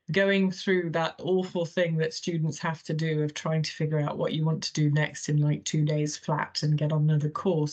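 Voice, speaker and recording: A woman's voice: low-pitched, lo-fi sound. A woman in her forties with a mixed American-English accent, answering interview questions thoughtfully with frequent ums and restarts. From Thailand. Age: 40s. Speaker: guest, healthcare chief executive.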